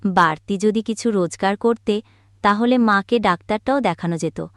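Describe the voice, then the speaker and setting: Lady doing a gentle worried village wife for a cartoon, earnest, coaxing, close dry sound. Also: medium pitch, normal speed, no room sound, lightly acted. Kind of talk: Bengali cartoon fairy-tale acting.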